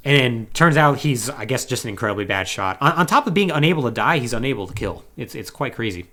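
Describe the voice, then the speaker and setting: A man hosting a podcast, casually retelling a video game plot in a slangy, animated, joking way. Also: medium pitch, fast. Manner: animated, slangy storytelling with mocking acted-out quotes. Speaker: podcast host.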